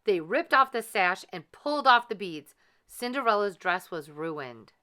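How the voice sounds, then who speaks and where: A woman narrates a children's story with deliberate, expressive phrasing. Her voice is bright and slightly low, with a very lively melody and an unhurried pace. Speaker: storybook reader.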